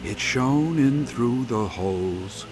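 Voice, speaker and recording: An actor playing a brooding, wistful man in a fantasy game, speaking in a solemn, measured, dramatic way. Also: medium pitch, expressive, music in the background. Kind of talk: video game cutscene acting.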